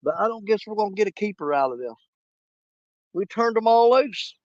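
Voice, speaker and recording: A man hosting a fishing live stream outdoors at a small lake, hoarse and losing his voice, rambling in a folksy way straight to his viewers. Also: high-pitched, lively intonation, fairly quick, no room sound, highs cut off. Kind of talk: casual live-stream chat.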